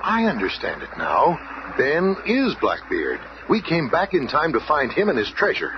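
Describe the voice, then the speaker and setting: Brainy heroic team leader, animated. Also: male voice, a little high, moderate pace. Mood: reasoning. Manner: explanatory, decisive. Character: brainy, commanding hero and level-headed team leader. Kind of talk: radio drama acting. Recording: muffled old radio recording with clear noise.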